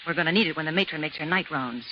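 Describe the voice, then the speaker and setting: A woman's voice: low-pitched, racing, noisy background, lightly acted. An actress playing a tough, slangy female convict who shares a cell, in a vintage radio drama. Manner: hardboiled slangy, clipped. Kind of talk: 1940s radio acting. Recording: dull lo-fi old radio transcription.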